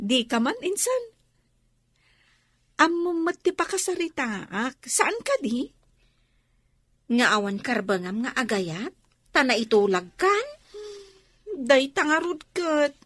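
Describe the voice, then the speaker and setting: Teasing young female student, outspoken, Filipino accent, high and swooping, radio drama. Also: fairly bright tone, dry recording, heavily acted.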